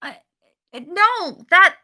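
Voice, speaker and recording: A woman panelist gives her opinions in casual, bantering talk. Her voice sits high and has a very bright, forceful edge. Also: very animated, slow pace. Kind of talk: casual chat.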